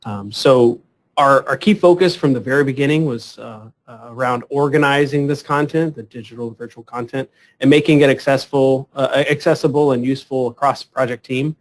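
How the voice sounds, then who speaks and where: A man hosting a webinar, introducing a product in a halting way with many fillers and repeated words. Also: medium pitch. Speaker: webinar host. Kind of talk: semi-scripted product introduction.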